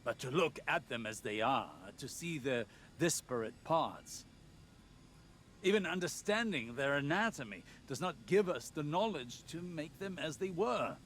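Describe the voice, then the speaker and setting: An actor playing an older scholarly warlock in a video game, grave and proud, with formal, measured, erudite speech. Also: male voice, fairly high pitch, expressive, a bit bright, a little hiss or hum. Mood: grave, troubled.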